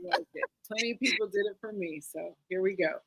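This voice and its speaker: A woman's voice: medium pitch, expressive, a little fast, vocal fry, fairly bright tone, breathy edge, rough recording. A woman with an American accent talking casually as an interview guest, informal and easygoing as she reminisces. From United States. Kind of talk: casual chat, personal recollection.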